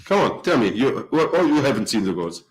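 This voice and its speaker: A man's voice: mid-range voice, sing-song, fairly quick, poor audio. A man with a German accent, a football manager at a press conference, pushing back with his own questions. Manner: combative, pushes back with questions.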